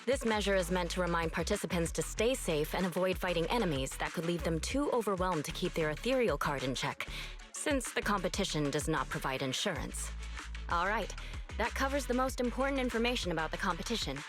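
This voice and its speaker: A woman's voice: on the low side, animated, brisk, music in the background, natural voice. A woman voicing a courteous, businesslike receptionist explaining rules in a game cutscene. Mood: polite, instructive. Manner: courteous, clearly instructive. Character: polite, businesslike female competition receptionist.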